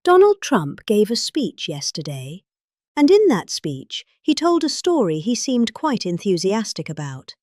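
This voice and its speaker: British woman, mock-serious and highly animated. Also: unhurried, dry recording, studio quality. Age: middle-aged. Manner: mock-serious, theatrically emphatic. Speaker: presenter reading out a politician's speech. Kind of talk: dramatic satirical reading of a speech.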